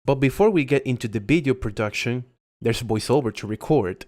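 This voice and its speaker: A man reading his own scripted voiceover in a measured, formal way, close to a USB condenser mic at home. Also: mid-range voice, darkish tone. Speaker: video creator.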